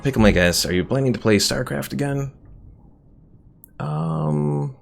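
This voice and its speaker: A man's voice: mid-range voice, lively intonation, a little slow, creaky, soft background music. A man streaming a strategy game, muttering, cursing at it and reading chat aloud. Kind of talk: casual gameplay commentary.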